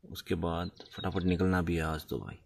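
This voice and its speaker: A man's voice: low-pitched, normal speed, creaky. A man chatting casually and informally to viewers as he narrates his own solo camping vlog. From India. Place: at a forest campsite. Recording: distant mic, faint noise, small clicks.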